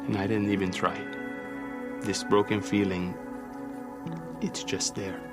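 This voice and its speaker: An actor playing a wistful man who quietly admits an emptiness in his life, over music. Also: fairly low pitch, little pitch movement, a little slow, lightly acted.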